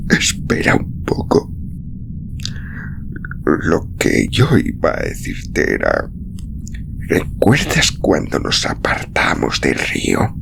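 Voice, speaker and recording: A man playing an exhausted, gently protective traveler near death in a storybook reading, murmuring slowly in a low, breathy, gravelly voice. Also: huge pitch swings, in a whisper, heavily acted. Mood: weary, explaining. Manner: slow, halting, tender. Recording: clearly noisy recording.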